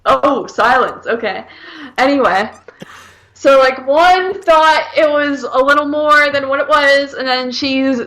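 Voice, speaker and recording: Woman podcaster w creaky kinda high voice, blunt n slangy, bickering casually about movies, says like a lot. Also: a little slow, fairly bright tone, rough recording. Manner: blunt, exasperated, slangy, repeatedly hedging with "like". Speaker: podcast co-host giving film reviews. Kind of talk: casual argumentative chat.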